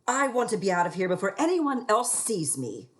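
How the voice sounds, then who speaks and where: A woman's voice: mid-range voice, big pitch swings, normal speed, noisy background, lightly acted. A woman playing a cool, haughty, proper society lady in a radio play, formal and arch. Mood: cool disdain.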